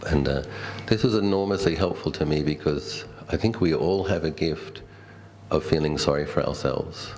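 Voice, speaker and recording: Casting: middle-aged Australian male, extremely low register, Buddhist teacher sharing personal anecdotes conversationally and reflectively, light background noise. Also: moderate pace, a little mellow. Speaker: Buddhist monk giving a talk. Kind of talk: dhamma talk with personal storytelling.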